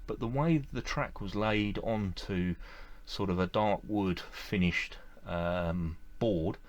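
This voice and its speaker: A middle-aged man with a deep, low voice talks straight to the camera from an indoor room lined with display shelves. He rambles in an easygoing, enthusiastic way, full of fillers and repeated words. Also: slow pace. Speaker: model railway collector vlogger. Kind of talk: casual to-camera review.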